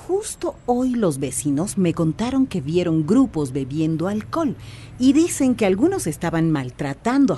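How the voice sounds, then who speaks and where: A woman's voice: mid-range voice, dark tone. A woman playing an earnest, authoritative and protective Bolivian police corporal in a radio drama. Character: firm, concerned community policewoman, a protective corporal.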